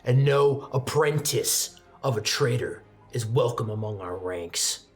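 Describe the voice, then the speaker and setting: An arrogant young male demon slayer as a game master plays him, proud and hostile. He talks in curt, condescending threats in a mid-pitched voice, with soft music underneath. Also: animated, measured pace, close to an everyday voice. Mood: stern, threatening.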